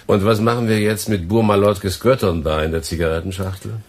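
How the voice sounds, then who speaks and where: An actor playing a dry, sardonic radio technician with a husky voice and laconic delivery in a radio play. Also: male voice, on the low side, steady tone, fairly quick, darkish tone. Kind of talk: German radio play acting.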